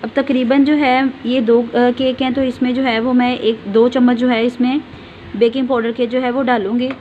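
A woman demonstrates a homemade cake recipe in a patient, instructive way, often telling viewers to look closely. Her voice sits a little high with a flat, even melody. The phone recording sounds a bit dull, with faint ambient noise behind her. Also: normal speed. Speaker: home cooking channel host. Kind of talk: step-by-step cooking demonstration.

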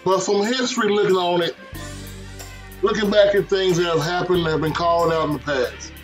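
A man giving opinionated sports commentary in an emphatic way, pausing for effect. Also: sits high, fairly even intonation. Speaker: sports commentary show host. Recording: music bed under voice. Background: background music.

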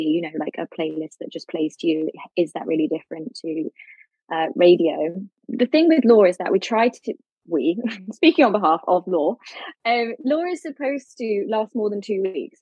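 A woman lawyer, explaining legal points carefully in a podcast interview, with a husky voice. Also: lowish voice, darkish tone, no echo, lo-fi sound. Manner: articulate, explains carefully with fillers. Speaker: lawyer guest.

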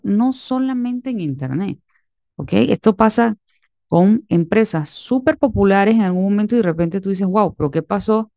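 A woman's voice: fairly low pitch, mellow, no echo, studio quality. A woman teaching an online marketing lesson over a screen-shared whiteboard, direct and instructive, often checking in with listeners.

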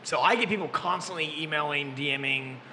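A man's voice: on the high side, brisk, bright, forceful tone, big-room sound, a little hiss or hum. A man in his early thirties, a podcast host who books music at a brewery, chatting loosely and joking with some profanity. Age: 30s. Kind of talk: casual chat. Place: brewery taproom. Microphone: desk mic on boom arm.

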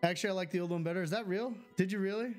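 A man's voice: high voice, very fast, faint music behind. A man chatting casually on a live game stream, reading chat aloud and answering it, on a studio mic at home. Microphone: studio mic with filters.

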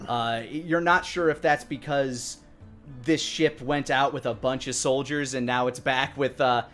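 Halting male game master narrating. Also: mid-range voice, steady tone, a bit bright. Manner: halting, searching delivery. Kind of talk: improvised tabletop game narration. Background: faint music.

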